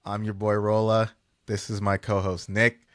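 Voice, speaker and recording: A man's voice: lowish voice, completely flat delivery, moderate pace, a bit bright, no echo, clean studio sound. A man hosting a casual sports podcast, talking in a laid-back, slangy way. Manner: laid-back, slangy, says "bro" and "dude" a lot. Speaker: podcast host.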